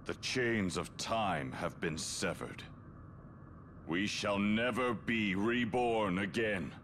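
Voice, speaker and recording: An actor playing a sinister, gloating spirit of chaos with ominous, theatrical, drawn-out delivery in a video game cutscene. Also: male voice, fairly low pitch. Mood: exultant, ominous. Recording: loud background music.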